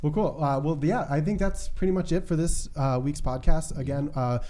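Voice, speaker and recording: American man hosting, notably dark, mellow voice. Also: on the high side, moderate pace. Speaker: podcast host. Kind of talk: casual podcast chat.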